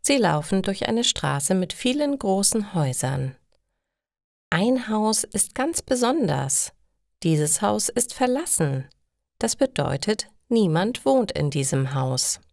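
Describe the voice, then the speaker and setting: A woman's voice: medium pitch, big pitch swings, slow, vocal fry, a little mellow, dry recording, studio quality. A woman reading scripted, simple stories for language learners in careful short sentences.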